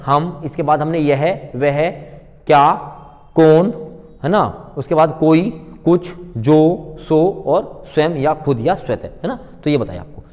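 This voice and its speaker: A man's voice: a little high, darkish tone. A man teaching a grammar lesson in an informal, instructional way, repeating key points and putting questions to students that he answers himself. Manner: informal, familiar address to students. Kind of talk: classroom grammar lecture with worked examples. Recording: long hall-like reverb on voice.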